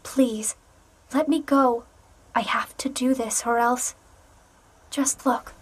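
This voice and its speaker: An actress playing a gentle, wistful teenage girl in a video game cutscene, soft and earnest. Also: a little high, fairly even intonation, slow pace, slightly rough. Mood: pleading.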